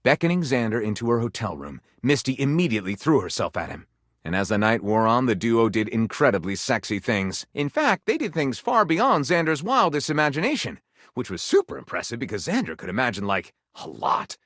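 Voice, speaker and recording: A man's voice: medium pitch, big pitch swings, a little fast, fairly bright tone, dry recording, studio quality. A man playing a flamboyant, pompous narrator of pulpy detective romance in a game, delivering it theatrically and tongue-in-cheek. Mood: sultry.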